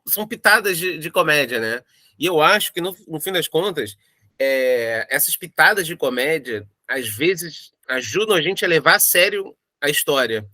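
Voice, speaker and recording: A man with a Brazilian accent and a crisp, bright voice in a dry, close sound. He discusses creative work casually, working through his thoughts in halting, looping explanations. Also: on the high side, no echo. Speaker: audio drama creator interviewed in a making-of.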